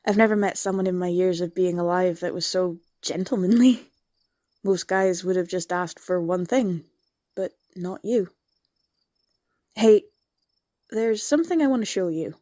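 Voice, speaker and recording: A woman playing a soft-voiced vampire girl. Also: on the low side, unhurried, long pauses, dry recording, clean studio sound, lightly acted. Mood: sweet. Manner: soft, intimate, slips into whispers. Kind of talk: ASMR roleplay.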